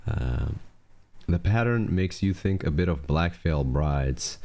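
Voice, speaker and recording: A man in his thirties from Belgium, speaking English, hosting a casual reaction video in a relaxed, chatty way with fillers and restarts. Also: very deep voice, takes it slowly.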